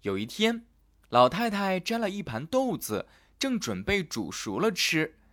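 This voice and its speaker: Male children's narrator, animated sing-song fairy-tale delivery. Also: sits high, measured pace. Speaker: children's storyteller.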